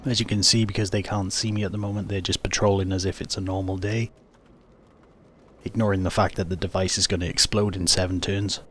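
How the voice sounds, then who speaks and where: Male gaming host, lowish voice, casual explanatory commentary, plain delivery, slight background noise. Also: level tone, brisk, a bit bright.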